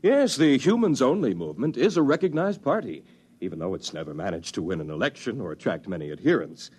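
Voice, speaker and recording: An actor playing a soothing, condescending office supervisor in a 1950s radio drama. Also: male voice, medium pitch, big pitch swings, fast, darkish tone, breathy edge. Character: smooth patronizing male office supervisor.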